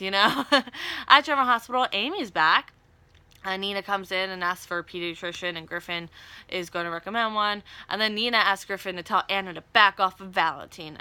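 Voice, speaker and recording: A woman with a bright, forward voice hosts a casual recap in a chatty, conversational way. She drops in wry asides and slangy retellings. The sound is close and dry, with very little room to it. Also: medium pitch, clean tone, no room sound. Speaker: video recap host.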